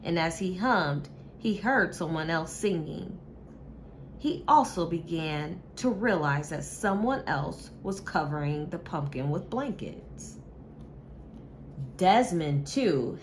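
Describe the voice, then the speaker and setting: A woman reading a children's story aloud with measured, expressive delivery, like a teacher. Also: low voice, slight background noise.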